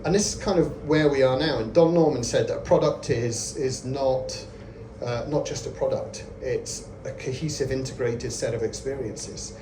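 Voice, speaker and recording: A man's voice: medium pitch, normal speed, mellow. A man in his forties giving a friendly, self-deprecating lightning talk at a meetup, chatting casually with lots of fillers. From Scotland. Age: 40s. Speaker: conference speaker. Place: event venue with audience. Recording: echoey room with ambient noise.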